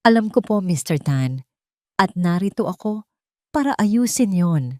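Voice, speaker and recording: A woman's voice: medium pitch, expressive, unhurried, mellow, dry recording, studio quality, natural voice. A woman voicing a wronged wife and heiress who speaks firmly and formally in an audio drama. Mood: resolute. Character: wronged young wife and heiress, firm and resolute.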